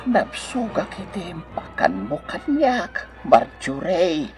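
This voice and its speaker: A woman acting a humble, pious, grateful elderly neighbor with a husky, creaky voice in a radio drama. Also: lowish voice, very animated, slow, a little mellow, soft background music.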